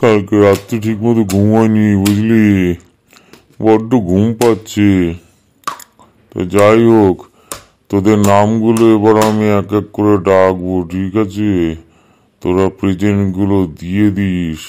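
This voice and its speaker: A man's voice: lowish voice, level tone, a little slow, pauses often, warm tone, slight background noise, lightly acted. A man playing a sleepy male schoolteacher in a comedy cartoon, drawling and scolding while claiming to be strict. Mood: drowsy, genial.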